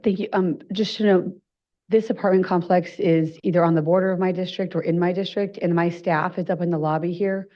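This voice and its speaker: A woman's voice: lowish voice, steady tone, brisk, warm tone. A woman council member making brief, plain remarks at a meeting, with some ums. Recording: muffled lo-fi meeting audio.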